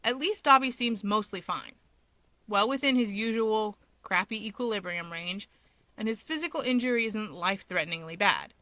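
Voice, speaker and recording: A woman reads a story aloud with a bright, forward voice. Her narration is expressive and conversational with a wry edge, recorded very dry with no room sound. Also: medium pitch, moderate pace, no echo. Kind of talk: expressive narration of fan fiction.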